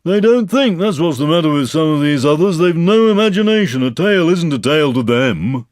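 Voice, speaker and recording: Guy putting on a mopey old donkey, slow and sorry for himself, raised a bit higher than his own voice, sing-song drooping lines, dry close sound. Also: expressive, no room sound, lightly acted. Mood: glum complaining. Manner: slow, mournful complaining. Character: gloomy old male donkey, self-pitying.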